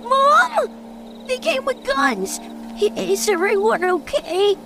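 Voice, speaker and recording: A woman's voice: very high voice, big pitch swings, takes it slowly, noisy background, exaggerated voice. A woman playing a small, frightened boy in an audio drama, with a timid, childlike way of talking.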